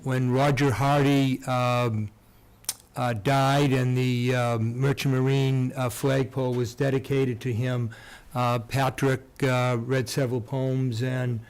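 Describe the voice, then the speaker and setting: Town board guy, monotone, hesitant intro full of uhs. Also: medium pitch, clear, resonant tone. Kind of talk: introducing a guest at a public meeting.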